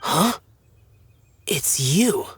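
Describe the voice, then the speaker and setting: A man's voice: a little high, sing-song, very slow, fairly bright tone, slightly rough, full character voice. A man voicing a solemn, aloof young male immortal spirit, speaking tersely and formally in a video game. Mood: guarded.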